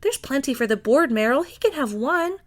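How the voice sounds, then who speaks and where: Woman voicing a sweet, easygoing woman, lifted pitch. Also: lively intonation, normal speed. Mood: sweet, cheerful. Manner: bright-mannered, dithering, teasing.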